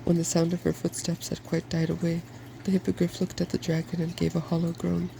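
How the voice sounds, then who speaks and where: A soft-spoken man reading a fairy tale aloud in ASMR style, in a gentle, measured storytelling manner. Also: a little high, monotone, vocal fry, slight background noise. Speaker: storybook reader.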